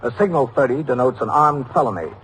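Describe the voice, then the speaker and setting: An authoritative police captain played by a male actor narrates a vintage radio drama. His delivery is measured, factual and official, in a medium-pitched voice. The old recording carries faint noise. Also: expressive, a bit bright, lightly acted, rough recording.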